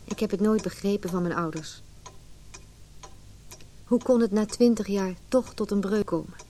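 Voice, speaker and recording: A woman's voice: lowish voice, mellow, slight background noise, natural voice. An actress playing an anxious, wounded, introspective married woman in a Dutch radio play. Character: anxious, wounded married woman recalling her lonely childhood.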